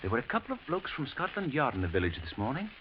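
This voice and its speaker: Through a muffled, faintly noisy vintage broadcast comes a chatty English gentleman. His British-accented delivery is animated and polite, with a gossipy ease typical of a radio play neighbor. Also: mid-range voice, racing. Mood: confiding, gossipy. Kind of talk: 1940s radio acting.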